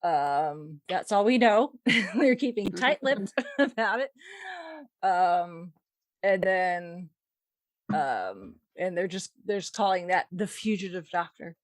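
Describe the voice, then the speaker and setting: A woman hosts a podcast in a casual, playful tone. Her pitch swings around in a lively way while she takes her time over the words. She sounds wry as she shares fandom news. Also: slow pace, no room sound. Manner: wry, playful, chuckles through talk. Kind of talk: casual chat.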